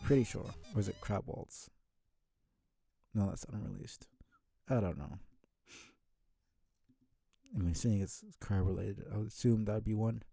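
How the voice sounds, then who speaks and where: A man's voice: lowish voice, creaky, dark tone, breathy edge, no room sound, faint music behind. A man reading a story aloud on a live stream, stumbling over words now and then and dropping in casual asides. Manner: halting, stumbles and rereads words.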